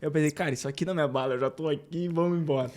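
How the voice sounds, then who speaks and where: A man's voice: a little high, darkish tone. A man telling a travel story in a casual chat, laughing and acting out what people said. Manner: fast, laughing, acts out quoted dialogue.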